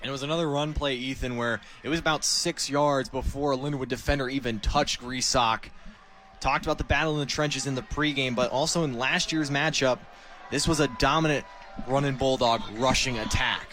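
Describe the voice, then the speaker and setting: A man's voice: medium pitch, fairly even intonation, fairly quick, sharp tone. An American man giving live football color commentary in conversational, explanatory run-on sentences. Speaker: color commentator, game analyst.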